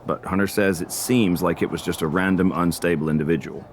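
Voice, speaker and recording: Deep, gravelly placating manager. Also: male voice, fairly even intonation, slight background noise. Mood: reassuring. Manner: measured, placating corporate talk. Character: smooth-talking male wrestling show manager, reassuring, dismissive. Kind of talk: video game cutscene acting.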